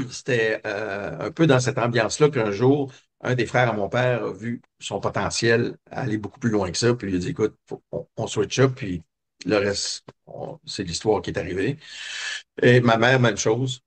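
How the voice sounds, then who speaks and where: Homme québécois qui raconte sa vie. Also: male voice, fairly low pitch, normal speed, slightly rough. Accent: Québécois French. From Quebec. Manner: reflective, many hesitations and fillers. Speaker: guest. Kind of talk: personal storytelling interview.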